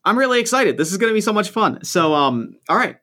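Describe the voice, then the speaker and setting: Excited podcast host guy, kinda high voice, gushing about the game. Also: quick pace. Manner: eager, gushing, repeats that he is excited. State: has a cold, losing his voice. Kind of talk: casual chat.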